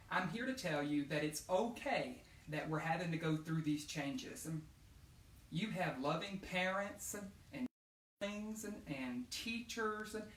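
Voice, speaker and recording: A man speaking as an educator to children, encouraging them and asking questions that he answers himself. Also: on the high side. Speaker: educator hosting children's read-alouds. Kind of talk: read-aloud and talk addressed to children. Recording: roomy echo, distant microphone.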